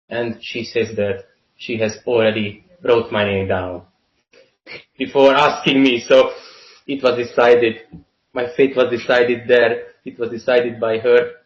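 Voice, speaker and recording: A man in his early twenties with a Hungarian accent answers interview questions at a slow, careful pace. He halts and repeats phrases as he explains. The sound is muffled and echoey. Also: mid-range voice. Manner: deliberate, halting, repeats phrases for emphasis. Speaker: interview guest, university student. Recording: roomy, muffled lo-fi call-like audio.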